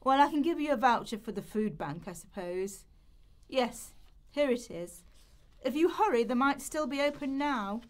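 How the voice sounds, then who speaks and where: A woman's voice: high voice, animated, moderate pace. Woman acting a stern, officious benefits clerk. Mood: grudging. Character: cold, scolding female benefits office official. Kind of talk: amateur radio play rehearsal.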